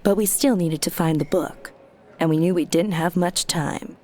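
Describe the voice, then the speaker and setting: A woman's voice: fairly low pitch, moderate pace, darkish tone, close to an everyday voice. An actress playing a cool, practical young American woman in an audio drama, matter-of-fact and slangy. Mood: composed storytelling. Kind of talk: audio drama narration and dialogue.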